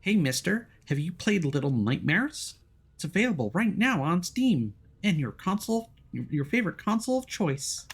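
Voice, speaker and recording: A man's voice: high voice, very animated, normal speed, slightly rough, voice pushed up, exaggerated voice. A man voicing a playful, chirpy small boy in a game story. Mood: chirpy pitch.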